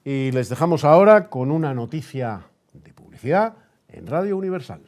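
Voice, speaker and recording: A man's voice: fairly high pitch, very animated, moderate pace, noisy background. A man with an accent from Spain, hosting on radio in the manner of a news presenter. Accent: Spanish, from Spain. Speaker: radio host. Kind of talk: period radio news bulletin reading, then studio interview.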